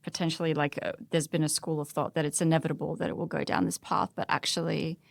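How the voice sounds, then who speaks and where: A woman's voice: deep voice, level tone, fast talker, creaky, no echo. A woman talking conversationally as a panelist in a podcast discussion.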